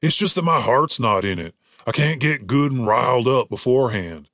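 A man voicing a gruff, blunt barbarian warrior with a slow, laid-back delivery in an audiobook. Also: mid-range voice, lively intonation, a bit bright, dry recording, clean studio sound. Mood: flat, unbothered.

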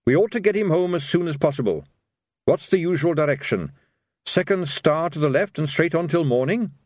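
Man voicing an earnest, worried-minded officer, raised pitch. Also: lively intonation, normal speed, a little mellow, no room sound. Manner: sincere, well-meaning. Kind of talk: audiobook character voice.

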